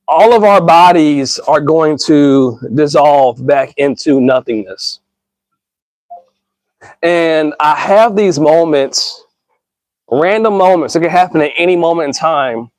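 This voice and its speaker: High-voiced man musing in casual slang. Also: takes it slowly, pauses often, no echo, rough recording. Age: 30s. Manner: slangy and earnest, addresses listeners as y'all and bro; rambling with frequent restarts and stammers. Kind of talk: casual reflective monologue.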